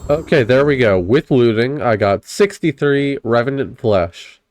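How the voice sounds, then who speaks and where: A man's voice: mid-range voice, animated, unhurried, a little mellow, faint music behind. A young man recovering from a cold, casually narrating a video game test as host of his channel and explaining each step in a chatty, upbeat way. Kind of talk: casual gameplay commentary.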